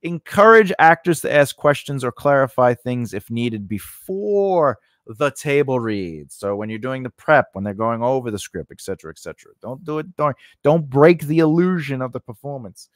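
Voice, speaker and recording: A man's voice: medium pitch, animated, moderate pace, hardly any pauses, dry recording, studio quality. A man teaching an informal, unscripted tutorial in a conversational way, often repeating phrases and checking in with the listener. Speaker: instructor and host of a writing lesson.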